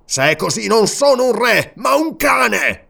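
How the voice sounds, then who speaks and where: A man's voice: on the high side, big pitch swings, normal speed, gravelly, fairly bright tone, voice pushed up, heavily acted. An Italian man narrating an audiobook and voicing a proud, furious barbarian king in disguise who swears vehement, declamatory oaths. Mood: bitter.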